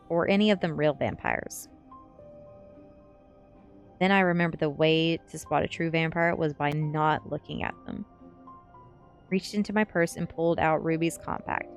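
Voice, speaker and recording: Low-pitched woman reading a story. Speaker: streamer reading a novel aloud. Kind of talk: ASMR story reading, first-person narration.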